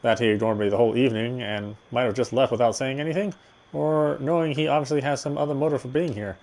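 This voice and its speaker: A man's voice: medium pitch, fairly even intonation, fast talker, a little mellow. A man reading visual novel narration aloud, expressively, with occasional stumbles over words. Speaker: game streamer. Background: game music.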